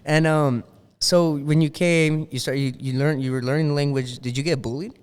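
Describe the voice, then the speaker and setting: A man hosting a podcast, with a smooth, resonant voice pitched a bit high. He talks loose and friendly, full of slang and Spanish phrases, and the room rings with a long echo. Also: steady tone, normal speed. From Los Angeles area, US. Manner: laid-back slang, mixes in Spanish. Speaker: podcast host. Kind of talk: casual interview chat.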